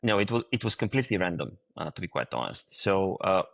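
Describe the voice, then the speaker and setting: A man from Bulgaria, a startup founder answering interview questions in a halting way, with frequent restarts and fillers. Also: low-pitched, big pitch swings, gravelly, no room sound.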